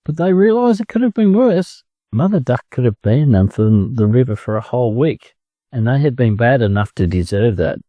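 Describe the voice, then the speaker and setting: A man's voice: mid-range voice, very animated, normal speed, no echo, dull audio. A man with an Australian accent reading a children's story aloud as a scripted narration for English learners.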